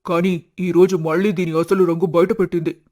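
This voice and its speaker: A man's voice: high-pitched, flat delivery, brisk, a little mellow, close to an everyday voice. A man voicing an earnest young husband devoted to his mother in a cartoon story. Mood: indignant.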